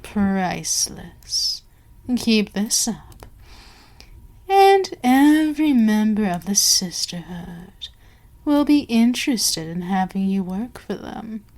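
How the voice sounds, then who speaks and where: An imperious vampire queen played by a woman speaks at a very slow, deliberate pace in a mid-range voice. She is seductive and menacing, haughty and used to being obeyed, and lets words sink toward a whisper in intimate ASMR roleplay style. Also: medium pitch, sing-song, darkish tone. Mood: sly, amused. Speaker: voice actor in audio roleplay.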